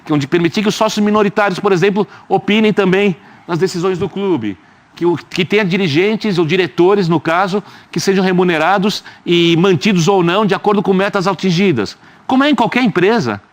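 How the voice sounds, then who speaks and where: A man's voice: high voice, a little fast. Emphatic man debating football. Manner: emphatic and explanatory, with rhetorical asides. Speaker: studio guest commentator.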